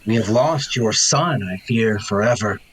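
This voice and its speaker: A man playing a blunt, sardonic nobleman in a Shakespeare audio drama, with a husky voice and a needling edge. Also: on the low side, unhurried, slight background noise, close to an everyday voice, poor audio. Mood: accusing, bitter.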